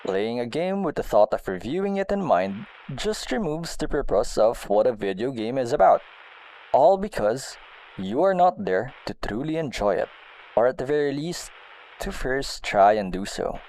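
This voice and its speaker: A man reading a personal essay aloud as a video game blogger, in an expressive, deliberate way. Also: medium pitch, rough recording.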